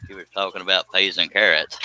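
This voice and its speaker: A man's voice: low-pitched, flat delivery, fast talker, sharp tone, poor audio. A man hosting a casual live stream chat, joking and teasing his friends. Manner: joking, playful, says he uses many voices. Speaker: livestream host.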